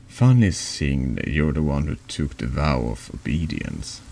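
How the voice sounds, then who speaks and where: A man's voice: extremely low pitch, very animated, unhurried, creaky, mellow, full character voice. A man voicing a snide, needling man in a confessional for a horror text game. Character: taunting, insinuating man in a confessional. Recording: noticeable background noise.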